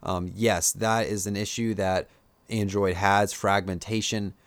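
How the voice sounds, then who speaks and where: A man with a Pennsylvania Dutch accent talks to his viewers in a low-pitched voice, rambling loosely with plenty of "um"s and "like"s. He shares strong opinions in a relaxed, off-the-cuff way. The sound is close and dry, with no room around it. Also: a little slow, dry recording. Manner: rambling, filler-heavy, opinionated. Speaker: YouTuber. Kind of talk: casual live-stream commentary.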